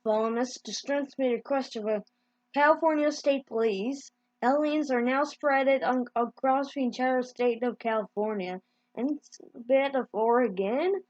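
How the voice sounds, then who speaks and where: A woman improvising mock emergency news bulletins as an amateur announcer, with halting delivery and mid-word corrections. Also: sits high, darkish tone, no echo, dull audio.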